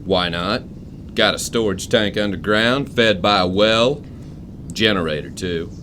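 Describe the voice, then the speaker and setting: A man's voice: on the low side, unhurried, bright, forceful tone, a little hiss or hum. An actor playing an eccentric, suspicious older man, a retired engineer with folksy, clipped country phrasing, in an audio drama. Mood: folksy, proud. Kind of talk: full-cast audio drama acting.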